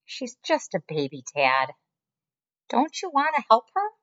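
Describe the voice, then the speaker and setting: A woman's voice: a little high, huge pitch swings, a little slow, a bit bright, pitched above the performer's own voice, heavily acted. A woman voicing a spirited teenage daughter who pleads eagerly, on a muffled lo-fi recording.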